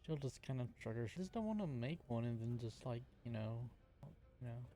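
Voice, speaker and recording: A man's voice: medium pitch, lively intonation, brisk, mellow. A man playing in a tabletop game, talking casually as he narrates his character and speaks for them.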